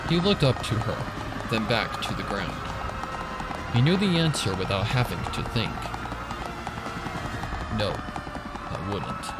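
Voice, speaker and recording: A man's voice: fairly low pitch, very animated, normal speed, over loud music, low-quality recording. A man narrating a story in a dramatic, suspenseful, theatrical scripted style. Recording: loud ambient sound effects over the voice.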